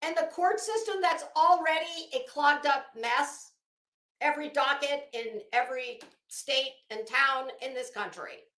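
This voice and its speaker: A woman's voice: high-pitched, unhurried, fairly bright tone. A woman giving unscripted, opinionated legal commentary in an emphatic, sarcastic, exasperated way, swearing freely and often repeating words as she goes. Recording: roomy, echoing room sound.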